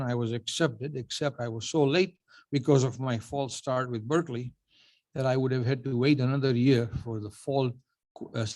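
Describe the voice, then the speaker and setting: A man's voice: medium pitch, a little mellow. Older man giving a wry acceptance speech. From Uganda. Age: 70s. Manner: dry self-deprecating humor, measured storytelling. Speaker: award honoree giving a speech.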